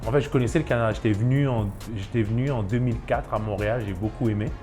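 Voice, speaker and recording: A man's voice: mid-range voice, fast, darkish tone, soft background music. A man in his thirties from Ivory Coast, a chef and restaurant owner, speaking in a documentary interview with many hesitations and restarts.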